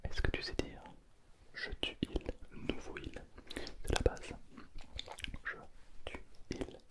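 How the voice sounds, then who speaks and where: A man whispering a playful, self-mocking ASMR roleplay as a pretend Mandarin teacher, close to the mic. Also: slow pace.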